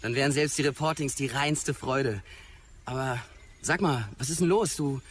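A man's voice: medium pitch, moderate pace, lightly acted. An actor playing a tender, hesitant, smitten man in a naturalistic audio drama dialogue. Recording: clear outdoor nature noise behind.

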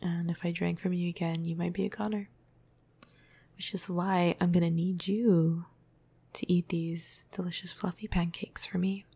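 Flirty, gentle female vampire for ASMR roleplay woman performer: breathy half-whisper, dark mellow tone, very even pitch, playful casual delivery. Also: normal speed, no echo. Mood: flirty, fond. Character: flirty, cuddly, teasing female vampire crush. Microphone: close microphone.

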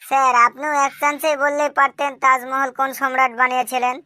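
A woman voicing a cheeky schoolchild in a comic cartoon, answering in a deadpan, literal-minded, politely impudent way. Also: high voice, fast, noisy background, close to an everyday voice. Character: cheeky schoolchild, earnest-sounding classroom smart aleck. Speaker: cartoon voice actor.

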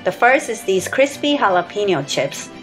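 A woman in her thirties or forties narrates a scripted grocery haul in a steady, matter-of-fact voice of middle pitch. Her close-mic voice-over runs over faint background music. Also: normal speed. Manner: even, matter-of-fact listing of purchases. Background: soft background music.

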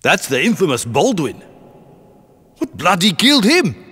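High-pitched, animated cheeky heist crook. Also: male voice, measured pace, slightly rough, heavily acted. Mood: amazed. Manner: casual, exclamatory, matey. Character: cheeky, rough-talking male heist crew ally. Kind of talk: video game voice acting. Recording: long hall reverb.

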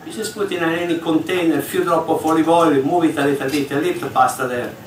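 A man's voice: a little high, steady tone, brisk, a little hiss or hum. A man, an English speaker, explaining step by step during a live cooking demonstration on stage, talking in slightly broken English. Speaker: executive chef. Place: large hall on a ship, in front of an audience. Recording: echoey hall from far off.

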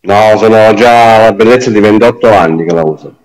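A man's voice: on the low side, moderate pace, darkish tone, rough recording. A man in his forties answering interview questions over a video call played in a theatre. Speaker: prize-winning painter. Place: indoor room, video call.

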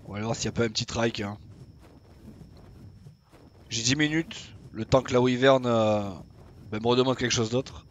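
A man's voice: medium pitch, steady tone, normal speed, pauses often, muffled recording, a little hiss or hum. A young man casually commenting on his own gameplay as he plays, chatty and swearing now and then. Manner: chatty, swears casually, talks to himself while playing. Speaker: gaming YouTuber.

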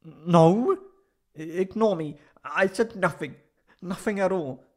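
A man voicing a shy, flustered young tsundere catboy in a high put-on voice, halting and stammering in a roleplay audio drama. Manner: halting, stammering, pleading and defensive.

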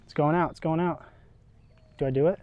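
A young man vlogging while fishing outdoors by a river, talking excitedly to viewers on an action camera. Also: on the high side, a little fast, highs cut off, a little hiss or hum. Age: young adult. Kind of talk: casual outdoor vlog.

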